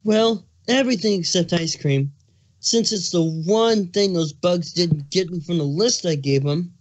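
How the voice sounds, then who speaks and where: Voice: medium pitch, measured pace, close to an everyday voice, rough recording. A performer voicing a hormonal, foul-mouthed male changeling king who is pregnant, in an exasperated, emphatic cartoon fan fiction reading. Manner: ranting, exasperated, emphatic swearing.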